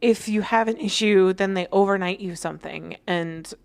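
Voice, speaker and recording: A woman's voice: mid-range voice, normal speed, creaky, smooth, no room sound. A woman hosting a podcast, chatting casually, laughing a lot and saying "like" often. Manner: bubbly, laughs a lot, many "like"s. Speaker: podcast host. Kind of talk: casual chat.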